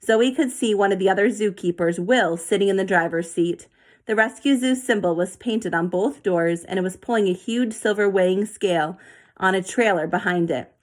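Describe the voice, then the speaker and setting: Expressive woman reading a children's story. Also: medium pitch, normal speed. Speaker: storybook reader. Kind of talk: children's story read aloud.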